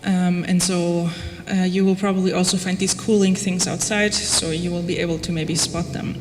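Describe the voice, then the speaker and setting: A woman from Austria gives an informal talk about her own research in a conversational, gently wry style. Her voice rings in a reverberant hall, with some noise in the background. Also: mid-range voice. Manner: conversational, wry, frequent "like" and "you know". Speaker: lecturer presenting own research project. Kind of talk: informal lecture. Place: reverberant lecture room, before an audience.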